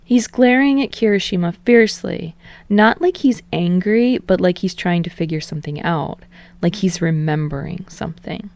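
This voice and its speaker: A woman's voice: on the low side, moderate pace, a little mellow, dry recording. A woman reading fiction aloud as scripted audiobook narration.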